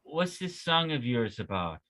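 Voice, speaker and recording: Man playing a slow, bright-voiced young romantic. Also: medium pitch, big pitch swings. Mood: tender, teasing. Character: young man in love, teasing and tender Romeo. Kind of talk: table read of a stage play. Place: remote video call.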